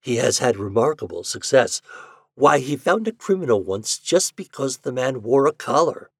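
Man voicing a fretful old doctor, swooping pitch. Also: expressive, moderate pace, husky, dry recording, studio quality. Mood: soothing, flustered. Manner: earnest, pleading, emphatic. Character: kindly, fretful, eager old male doctor. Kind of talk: audiobook character dialogue.

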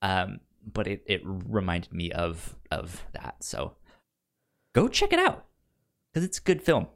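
A man's voice: low voice, wildly swooping pitch, measured pace, gravelly. A man co-hosting a podcast in casual film discussion, stammering and repeating syllables and words as he talks. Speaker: podcast co-host.

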